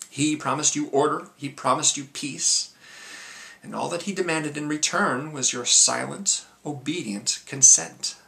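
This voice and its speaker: Man acting a measured, gravelly masked revolutionary. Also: mid-range voice, bright, forceful tone. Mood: accusing, knowing. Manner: measured, deliberate, rhetorical. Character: eloquent male masked revolutionary addressing the nation. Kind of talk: memorized film monologue, theatrical speech.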